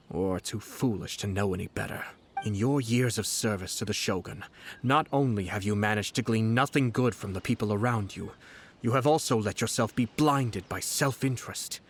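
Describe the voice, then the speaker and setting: An actor playing a composed, shrewd young nobleman in a video game, speaking eloquently with measured, subtly mocking courtesy. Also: on the low side, slightly rough. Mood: cold reproach. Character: composed, shrewd young noble lord, politely cutting commissioner.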